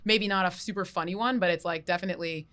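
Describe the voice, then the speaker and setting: A woman's voice: fairly low pitch, fast talker, a bit bright. A young adult woman, a comedian guest on a podcast, chatting casually and rambling through stories with lots of "like". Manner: chatty, many "like" fillers, rambling storytelling. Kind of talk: casual chat.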